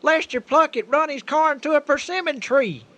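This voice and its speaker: A man's voice: very high-pitched, normal speed, fairly bright tone. An actor playing a folksy, bossy, good-hearted small-town man with a Southern American accent in a comic radio drama. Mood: alarmed. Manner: folksy, insistent, talkative. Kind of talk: comic old-time-style radio drama.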